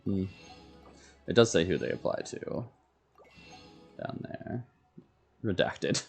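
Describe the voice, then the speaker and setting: A man gives casual solo gameplay commentary, thinking aloud in short fragments. His voice is heavily fried and a little mellow, and he takes his time between words. Soft music plays faintly behind him. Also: deep voice, animated, slow pace, gravelly, husky. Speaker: game streamer.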